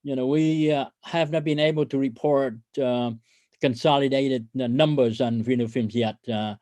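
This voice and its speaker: A man with a mid-pitched voice addresses investors as a company executive. His delivery is halting and hesitant, often stumbling as he goes. The sound is dry, with hardly any room echo. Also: steady tone, darkish tone. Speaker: company CEO hosting an investor conference call. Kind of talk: corporate earnings call presentation.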